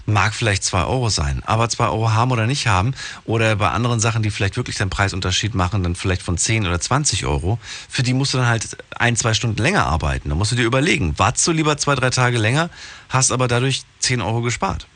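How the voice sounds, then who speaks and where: Animated man, radio host, dry studio. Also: lowish voice, fast, no room sound. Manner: animated, exasperated, full of restarts. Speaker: radio talk show host. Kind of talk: casual radio phone-in talk.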